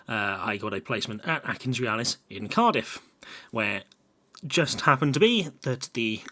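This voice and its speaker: Chatty young English engineer, bright voice. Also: male voice, medium pitch. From Brighton and Hove, England. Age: young adult. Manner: casual, chatty with frequent "uh" fillers. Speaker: civil engineer presenting. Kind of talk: recorded technical presentation.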